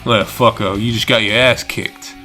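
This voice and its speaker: A man's voice: lowish voice, fairly even intonation, normal speed, pushed and bright, soft background music. A man voicing an aggressive, foul-mouthed street thug in a comic dub, confrontational and taunting. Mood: gloating.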